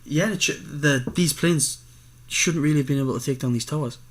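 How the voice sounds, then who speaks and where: A man's voice: a little high, a little fast. A man chatting informally as co-host of a podcast. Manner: informal, conversational. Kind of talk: casual chat.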